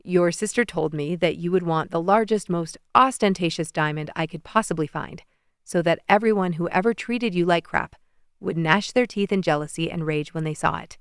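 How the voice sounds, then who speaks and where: A woman reading as a charming young man who is confident and teasing, pitched a little lower and kept soft. The delivery is smooth and close, with a dry sound. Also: normal speed, no echo, close to an everyday voice. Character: charming young male president, confident, playful suitor. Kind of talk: audiobook character voice.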